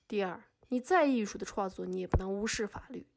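A woman's voice: mid-range voice, a little fast, gravelly, darkish tone, slight background noise, lightly acted. A woman playing an indignant accuser, scolding and sarcastic, in a scripted podcast drama. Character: indignant female accuser scolding an artist in court.

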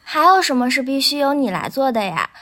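Woman playing an oily, breathy seductress. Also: high voice, sing-song, fairly quick, bright, forceful tone, heavily acted. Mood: teasing, flirtatious. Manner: oily, cloyingly intimate. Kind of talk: exaggerated audio drama romance acting.

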